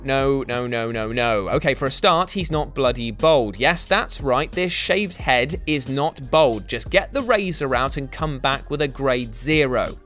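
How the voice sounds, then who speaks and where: A British man presents a scripted countdown with a lively, swinging pitch. His delivery is sarcastic and exaggerated, leaning hard on key words for emphasis. Light music plays behind him. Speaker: video list presenter. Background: background music.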